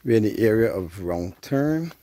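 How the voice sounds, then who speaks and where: A man's voice: lowish voice, animated, normal speed, dark tone. A Barbadian man narrating a casual walking vlog on rural cane field roads, chatting to viewers as "guys" while filming on a handheld phone. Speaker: vlogger. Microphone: handheld phone camera while walking.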